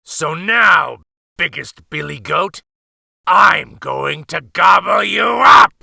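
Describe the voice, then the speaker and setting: A man's voice: high-pitched, expressive, slow pace, gravelly, pushed and bright, dry recording, shouting, clean studio sound, voice pushed up, heavily acted. A man voicing a hungry, crabby male troll who growls and snarls his lines. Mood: menacing.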